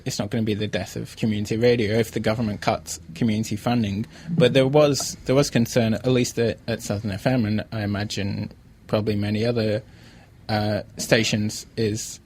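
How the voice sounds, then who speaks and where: An Australian man co-hosting a podcast, chatting casually in a halting, thoughtful, informal way. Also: fairly low pitch, darkish tone, slight background noise. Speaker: podcast co-host, interviewer. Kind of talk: casual chat.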